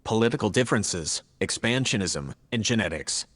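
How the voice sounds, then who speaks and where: An earnest, logical male AI from a radio play, acted by a man. He reports in a measured, formal, factual manner. The voice is a little low, with a long hall-like reverb trailing it. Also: lively intonation, fairly bright tone, breathy edge. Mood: earnest, matter-of-fact. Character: male artificial intelligence, logical, earnest, troubled by humans. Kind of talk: scripted audio drama dialogue.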